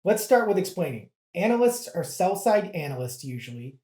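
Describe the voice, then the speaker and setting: Mellow, roomy-sounding explainer voice. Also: medium pitch. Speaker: presenter of an investing guide. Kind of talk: explanatory educational narration.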